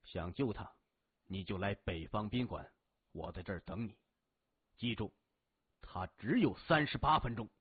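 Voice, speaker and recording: Man playing a low, cold, sneering gang boss. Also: expressive, slightly rough, no room sound. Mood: cold, threatening. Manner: measured, menacing, quietly sneering. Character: cold, mocking young male gang boss. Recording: thin, narrow phone-like audio.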